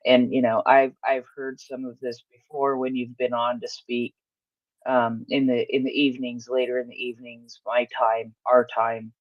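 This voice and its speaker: A man hosting a live audio space, speaking haltingly with many restarts and fillers. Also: medium pitch, no room sound. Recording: dry, dull lo-fi audio.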